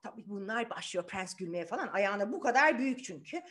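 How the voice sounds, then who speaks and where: A woman telling a fairy tale to children in an animated, informal, conversational way, often putting questions to her listeners. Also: mid-range voice, fairly quick. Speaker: children's storyteller.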